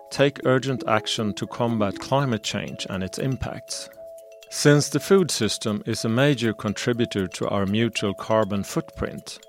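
A man hosting a podcast, reading a measured, prepared introduction. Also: fairly low pitch. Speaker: podcast host. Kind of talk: scripted podcast intro and interview.

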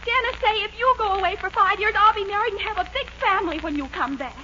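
An actress playing a hurt, indignant young American sweetheart in a melodramatic 1940s radio comedy style. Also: female voice, extremely high pitch, fast, sharp tone. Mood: incredulous, hurt. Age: young adult. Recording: very noisy, narrow-band old radio recording.

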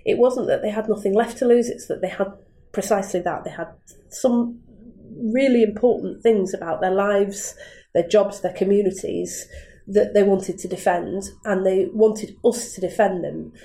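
A woman's voice: mid-range voice, dark tone. A woman, speaking earnestly and at length as a politician in an interview. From Manchester, England. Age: 30s. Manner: earnest, measured, argumentative. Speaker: member of parliament.

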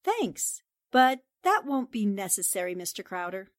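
A woman's voice: medium pitch, sing-song, unhurried, no room sound, studio quality. A woman playing a confident, determined newspaper sleuth in 1940s radio drama style, assertive and politely pointed.

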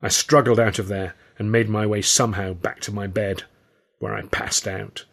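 A British man reading his own novel as an expressive, wry first-person audiobook narration. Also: lowish voice, moderate pace, gravelly, background noise.

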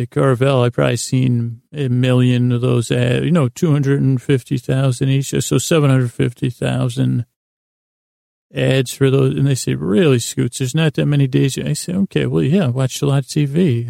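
A middle-aged man hosting a sleep podcast, delivering a meandering, halting bedtime monologue that trails off and circles back with whimsical self-deprecation. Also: medium pitch, steady tone, creaky, warm tone, no echo, studio quality.

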